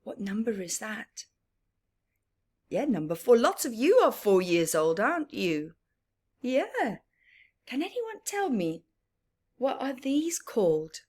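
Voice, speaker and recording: Female children's story reader. Higher-pitched, highly varied intonation, very unhurried, teacherly and sing-song, engaging small listeners. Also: breathy edge. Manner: sing-song, expressive, prompting listeners with questions. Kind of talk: interactive picture book read-aloud for young children.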